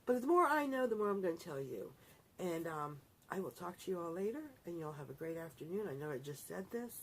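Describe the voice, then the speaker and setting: A woman's voice: fairly low pitch, sing-song, quick pace, a little hiss or hum, poor audio. A middle-aged woman vlogger chatting casually to her viewers in a rambling, self-correcting way. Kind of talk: casual talk to camera.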